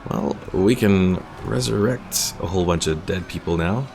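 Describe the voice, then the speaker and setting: A man's voice: low voice, normal speed, gravelly, noisy background. A man giving casual live commentary as a game streamer, chatting and thinking aloud as he explains mechanics.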